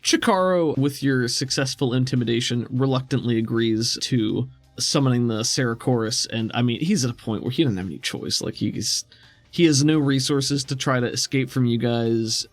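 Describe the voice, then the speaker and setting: A man's voice: medium pitch, normal speed, no room sound, soft background music. A man acting as game master, narrating and explaining rules in a casual, conversational way.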